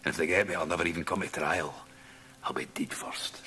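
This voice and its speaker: An actor with a Scottish accent playing a sneering, violent Victorian villain in a radio drama, mocking and coarse. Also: male voice, sits low, brisk, bright, forceful tone, slight background noise. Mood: sly, low.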